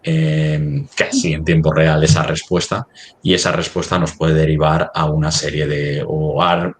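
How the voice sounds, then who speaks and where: A man with an extremely deep voice presents a live webinar demonstration. He talks haltingly, thinking aloud as he works through each step on screen. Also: gravelly. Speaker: software demo presenter.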